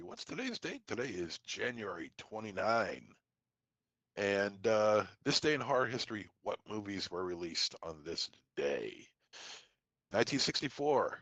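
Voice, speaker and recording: A man's voice: fairly low pitch, fairly bright tone, no echo. Congested man reading horror trivia. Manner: lists dates and titles with quick asides. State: congested from a cold. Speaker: podcast co-host. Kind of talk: casual podcast segment reading trivia.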